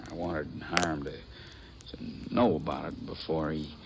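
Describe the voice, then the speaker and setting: A gravelly, flustered frontier townsman. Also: extremely low pitch, little pitch movement, measured pace. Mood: troubled, puzzled. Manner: folksy, stumbling, repeats himself. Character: earnest, flustered frontier townsman, assay office man. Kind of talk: 1950s radio western acting. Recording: noisy old radio recording.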